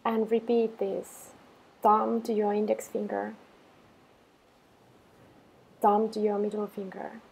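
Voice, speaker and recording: A woman teacher leading a guided meditation in a calm, unhurried manner, giving simple instructions with gentle pauses. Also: mid-range voice, vocal fry, breathy edge, low-quality recording.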